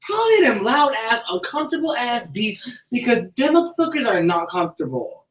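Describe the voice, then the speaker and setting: A brash, opinionated woman chats casually with a very lively, swinging pitch. She swears and talks in slang, sitting back from the microphone in an echoey room. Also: fairly quick, distant mic. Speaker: podcast co-host. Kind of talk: casual chat. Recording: roomy, off the mic.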